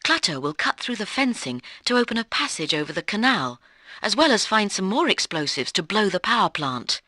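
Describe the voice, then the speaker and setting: Brisk female spy briefer for a game, bright and dry-recorded, lively pitch, authoritative. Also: no room sound. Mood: instructive. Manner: instructive, authoritative. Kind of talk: scripted video game mission briefing.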